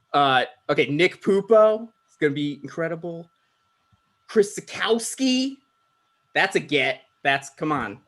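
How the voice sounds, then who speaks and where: A man with a fairly high voice introduces a live online show as host. His delivery is casual and halting, with lively swings in pitch. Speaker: host and writer introducing a table read. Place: video call.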